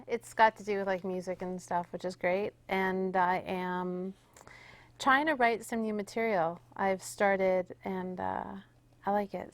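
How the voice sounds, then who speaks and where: A woman musician chatting casually as an interview guest, informal and loose in her phrasing. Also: mid-range voice.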